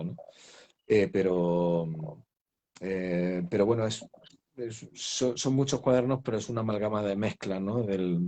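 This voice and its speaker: A man with a low, warm voice and a soft Granada accent. He talks slowly and reflectively, restarting his sentences as he gathers his thoughts. He is speaking from home over a video call. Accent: Andalusian Spanish from Granada. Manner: reflective, many pauses and self-restarts. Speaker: guest author. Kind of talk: interview conversation. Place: home room.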